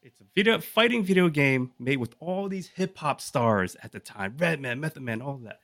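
A man's voice: fairly high pitch, animated, moderate pace, fairly bright tone, no echo. Man chatting casually in a dry, close recording. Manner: enthusiastic, uses rhetorical questions. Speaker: podcast co-host. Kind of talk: casual chat, pitching a list of picks to the group.

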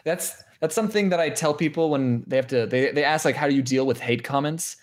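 A man's voice: fairly high pitch, brisk. A man taking part in a podcast, talking casually in a group chat. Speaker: podcast participant.

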